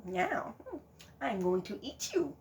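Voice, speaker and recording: A woman's voice: fairly high pitch, very animated, takes it slowly, vocal fry, darkish tone, slight background noise, voice pushed up, full character voice. A woman voicing a sly, greedy fox in a read-aloud storybook.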